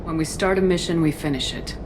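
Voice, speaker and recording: A performer playing a steady military commander, speaking calm and clipped. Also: mid-range voice, brisk, background noise, close to an everyday voice.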